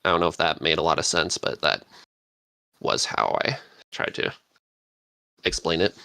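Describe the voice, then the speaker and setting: A man chatting casually as a podcast panelist, excitable and self-deprecating in manner. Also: rumbling low, moderate pace, creaky, clear, resonant tone, dry recording.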